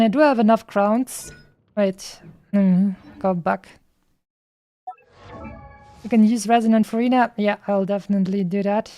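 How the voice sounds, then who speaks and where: A woman's voice: mid-range voice, mellow, dry recording. A woman streamer giving casual, chatty gameplay commentary, rambling and thinking aloud as she goes.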